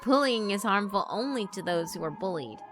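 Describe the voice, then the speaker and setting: A woman's voice: mid-range voice, expressive, a little fast, natural voice. A woman voicing a sweet, persuasive female figure who praises the bullies' cruelty. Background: background music.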